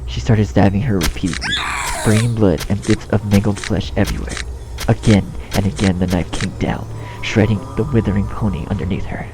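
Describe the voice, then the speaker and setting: A man's voice: fairly low pitch, fairly quick, low-quality recording. A man reading a scripted story as narrator, in a dramatic storytelling style. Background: music.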